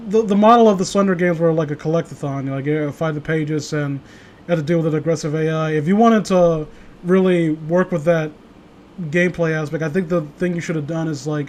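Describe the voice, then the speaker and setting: A man with a high-pitched voice chats casually about games as a streamer. He rambles through his thoughts in loose, conversational phrasing and curses freely. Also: darkish tone, a little hiss or hum. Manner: profane, rambling. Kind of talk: casual gaming chat.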